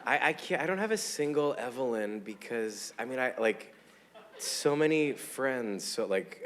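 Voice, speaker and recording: A man from the cast speaks on a theater panel in a slightly low voice. He rambles in a playful way, with faint room noise behind him. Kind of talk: panel talkback.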